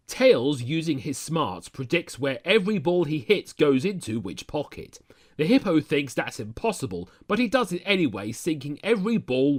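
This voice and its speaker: A man reading scripted narration, retelling a cartoon adventure episode as a storyteller. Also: medium pitch. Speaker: narrator of an animated-series recap.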